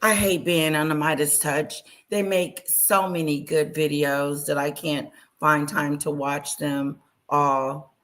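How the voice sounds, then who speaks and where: A woman hosting a casual live stream, chatting and greeting viewers by name in a loud voice. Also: low-pitched, flat delivery, slow. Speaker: livestream host reacting to news clips.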